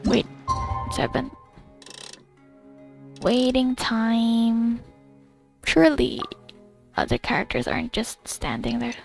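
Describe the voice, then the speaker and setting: High, creaky-voiced young woman gaming. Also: animated, slow pace, pauses often, darkish tone. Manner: chatty, thinks aloud in short fragments. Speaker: game streamer. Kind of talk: casual reactive gameplay commentary. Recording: game music behind the voice.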